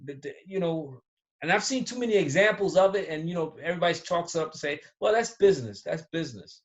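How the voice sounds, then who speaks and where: An American man with a slightly high voice talks in a loose, rambling way, often restarting his thoughts. The audio is dull and lo-fi. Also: expressive, racing. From United States. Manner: rambling, trails off, many restarts. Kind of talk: casual conversation. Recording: dull lo-fi sound.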